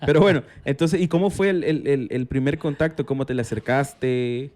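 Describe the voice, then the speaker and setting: A male podcast host with a mid-range voice. He leads the conversation with curious questions, often backing them with a quick "ujú" or "claro". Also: medium pitch, a little mellow. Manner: curious, prompting with short acknowledgments. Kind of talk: casual interview.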